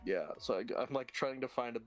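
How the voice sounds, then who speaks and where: A man with a naturally deep voice talks as a guest on an online show. He is jokey and a little boastful and laughs easily, with faint music playing behind him. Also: brisk. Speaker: guest streamer. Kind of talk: casual interview chat.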